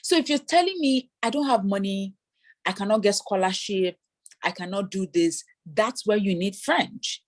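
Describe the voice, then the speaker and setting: Woman consultant, mid pitch, explanatory, mimics applicants' lines. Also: moderate pace. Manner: explanatory, voices hypothetical applicants. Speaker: immigration consultant. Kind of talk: explaining advice in an interview.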